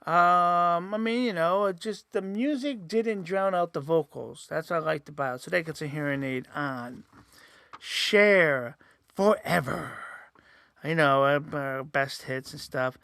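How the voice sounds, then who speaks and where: A man's voice: on the high side, unhurried, gravelly, pushed and bright, clean tone, no room sound, clean studio sound. A man hosting a casual solo podcast, rambling with many fillers and restarts, his voice sometimes a little nasal. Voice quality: says he sometimes sounds nasal. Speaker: host.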